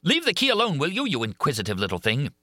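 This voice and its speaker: Man playing a jealous mocking monster, bright edge, lively swings. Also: on the low side, normal speed, no room sound, clean studio sound, pitched above the performer's own voice, full character voice. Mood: menacing. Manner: angry, mocking. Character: menacing jealous male monster.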